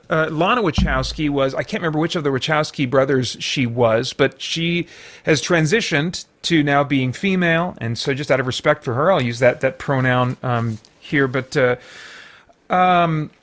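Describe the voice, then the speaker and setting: American guy doing an off the cuff film review, voice a bit on the high side, halting, keeps searching for the right words. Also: fairly bright tone. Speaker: movie review host.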